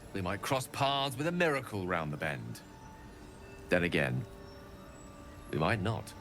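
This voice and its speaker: An actor playing a learned, earnest wizard in a fantasy video game, speaking in florid, measured, dramatic phrases. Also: male voice, lowish voice, fairly bright tone, a little hiss or hum. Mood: apologetic. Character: learned male wizard, eloquent, theatrical, earnestly remorseful.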